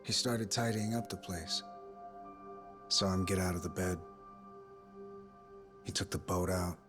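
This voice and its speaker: An actor playing a subdued, grieving son in a video game drama, speaking in a plain, wistful way. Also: male voice, low-pitched, measured pace. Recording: music behind the voice.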